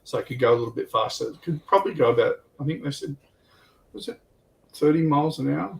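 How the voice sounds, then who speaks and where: A man's voice: fairly high pitch, expressive, quick pace, creaky, distant mic. A man on a hobby livestream panel, commenting casually on a tabletop game and thinking aloud in a hesitant, rambling way.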